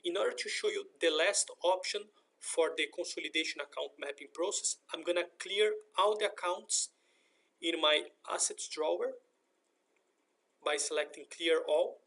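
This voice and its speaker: A man narrating a step-by-step software screen tutorial in a methodical, explanatory manner. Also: on the high side, normal speed, vocal fry. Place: voice-over for a screen recording.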